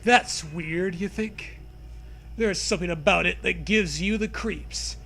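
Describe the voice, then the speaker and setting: Male co-reader for comedy: high-pitched, bright, measured, playful, with faint background noise. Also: vocal fry. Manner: playful, dramatizing the narration. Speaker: co-host reading aloud a choose-your-own-adventure parody. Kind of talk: comic read-aloud with casual banter.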